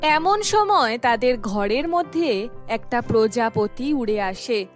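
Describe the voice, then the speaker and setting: High-voiced woman narrating slowly. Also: expressive, faint music behind. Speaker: storyteller narrator. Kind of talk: scripted children's story narration.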